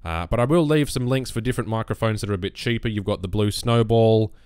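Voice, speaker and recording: A young man with a bassy voice explaining his recording setup in a relaxed, rambling way, close on a USB condenser mic on a boom arm. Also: fast, clear, resonant tone, no echo. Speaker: YouTube gaming creator. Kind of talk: casual screen-recorded tutorial.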